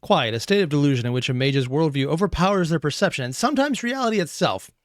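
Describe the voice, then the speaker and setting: A man giving rambling, profane reaction commentary on tabletop lore, going a bit hoarse as he loses his voice. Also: fairly high pitch, fast talker, no room sound, studio quality. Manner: rambling, profane, animated asides. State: losing his voice. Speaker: reaction streamer.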